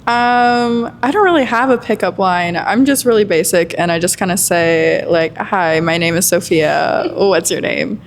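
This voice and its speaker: A woman's voice: on the low side, unhurried, few pauses, a little hiss or hum. A woman in her mid-twenties, a blind-date contestant, answering in a shy, giggly way. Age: 20s.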